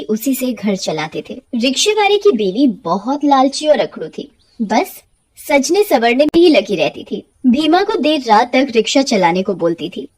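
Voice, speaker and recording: A woman narrates an animated tale in a high voice. She delivers it as scripted storytelling.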